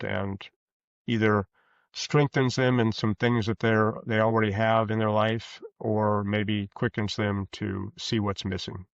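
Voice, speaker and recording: Male interview guest, low and gravelly, halting and discursive, on a muffled lo-fi recording. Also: no echo. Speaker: guest author. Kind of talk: interview answers, conversational. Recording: dull, lo-fi sound.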